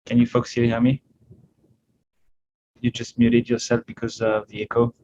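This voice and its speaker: A man's voice: mid-range voice, monotone, moderate pace, darkish tone. A middle-aged man with a French accent giving an informal guest talk to a class, with a wry, self-deprecating and hesitant delivery. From northern France. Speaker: guest speaker, startup CEO. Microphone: video call microphone. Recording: noisy, muffled video call audio.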